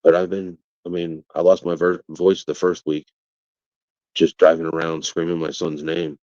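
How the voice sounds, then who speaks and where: A man speaks in a very low, deep register, and his answers stop and restart as he searches for words. When he pushes back he turns blunt and defiant. The audio is dry and slightly muffled, with a lo-fi edge. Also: level tone, no room sound. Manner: halting and rambling, then rising to defiant, forceful outbursts. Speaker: father of a missing child, interviewee. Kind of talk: interview answers.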